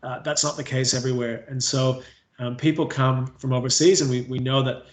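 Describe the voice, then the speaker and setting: A man's voice: medium pitch, level tone, fairly quick, reverberant. A man from Canada with a Canadian accent, presenting a staff training webinar on water safety, with frequent ums, uhs and restarts. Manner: hesitant, many fillers and restarts.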